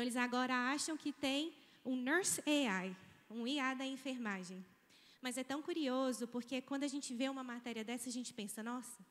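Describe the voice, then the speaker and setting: A woman's voice: sits high, a bit bright. A woman in her thirties from Minas Gerais with a Mineiro accent, giving a chatty, informal conference keynote on a handheld mic in a large auditorium. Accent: Mineiro Brazilian Portuguese. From Brazil, Minas Gerais. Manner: chatty, informal, with frequent tag questions. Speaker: guest speaker, nursing professor. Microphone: handheld microphone. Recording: hall reverb.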